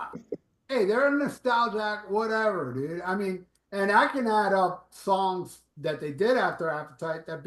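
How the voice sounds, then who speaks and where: A man's voice: sits high, fairly bright tone, roomy sound. A man of sixty co-hosting a casual rock podcast, with teasing, joking banter. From South Florida. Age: 60s. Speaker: podcast co-host. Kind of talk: casual chat.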